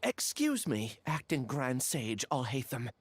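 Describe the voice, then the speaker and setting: A woman playing an awkward, talkative character in a video game, speaking politely with expressive hesitations. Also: very deep voice, a little slow, slightly rough, full character voice. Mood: curious, awkward.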